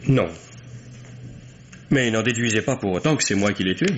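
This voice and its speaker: A man's voice: lowish voice, moderate pace, close to an everyday voice. A man acting a reserved, bitter son under questioning in an old radio mystery, answering formally with hesitations and curt replies. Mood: defensive, bitter. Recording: dull, noisy old radio drama recording.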